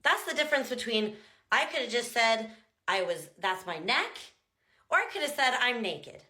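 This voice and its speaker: Chatty American woman, bright voice. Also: a little high, sing-song, normal speed, reverberant. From United States. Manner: chatty, joking, exaggerates pronunciations to demonstrate. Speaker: Norwegian language teacher. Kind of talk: casual talking-head explainer.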